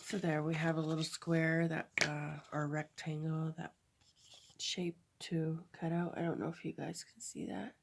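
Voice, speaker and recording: Slow, stuffed-up woman crafting. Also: deep voice, flat delivery, vocal fry. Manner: halting, chatty, many fillers and self-corrections. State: stuffed up from a cold. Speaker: crafter demonstrating a project. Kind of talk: casual craft tutorial.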